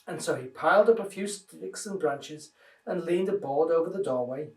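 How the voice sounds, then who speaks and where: Higher-pitched male storyteller, echoey room. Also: moderate pace. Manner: expressive, dramatised reading. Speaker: minister reading children's stories. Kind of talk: children's storytelling. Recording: roomy echo, distant microphone.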